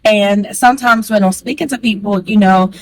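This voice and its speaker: A woman talking fast and candidly to the camera, chatty and frank, with a strong voice. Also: medium pitch, rough recording. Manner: chatty, candid, calls listener girl; fast talker. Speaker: vlogger. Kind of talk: casual talk to camera.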